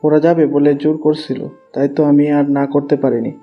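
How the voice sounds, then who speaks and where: Man acting a mild, even-toned elder brother. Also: a little high, moderate pace, muffled recording, faint music behind, natural voice. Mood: worried, hesitant. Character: hesitant, mild elder brother joining a rescue. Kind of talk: Bengali audio story acting.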